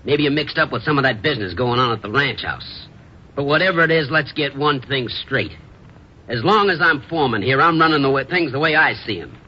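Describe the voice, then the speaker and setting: A man's voice: mid-range voice, expressive, fairly quick, sharp tone. Hard, piercing, domineering ranch foreman. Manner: blunt, menacing. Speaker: radio actor. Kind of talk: 1950s radio drama acting. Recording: muffled, hissy old radio recording.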